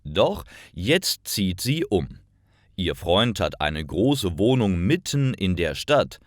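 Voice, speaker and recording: A man reading simple stories aloud in a clear, careful narration for language learners. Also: low-pitched, very animated, slow pace, no echo, clean studio sound. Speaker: storyteller for German learners. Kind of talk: scripted slow narration.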